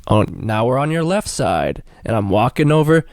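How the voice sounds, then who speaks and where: A man's voice: medium pitch, sing-song, normal speed, darkish tone, no echo, clean studio sound. A man co-hosting a comedy podcast in casual chat, joking, swearing and riffing into bits. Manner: joking, profane, riffs into bits. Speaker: podcast co-host.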